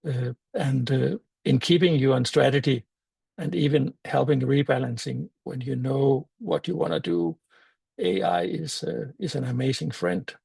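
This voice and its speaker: An older man with a Danish accent, a native Danish speaker, giving a conference talk in a thoughtful, halting way with many fillers and restarts. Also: medium pitch, gravelly, darkish tone, husky, dry recording. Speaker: meetup speaker.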